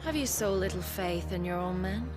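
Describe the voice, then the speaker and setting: An actress playing a cool, cunning, seductive noblewoman commander in a cinematic video game, speaking in a measured, haughty, insinuating way. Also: on the low side, over loud music, natural voice. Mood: cool, mocking.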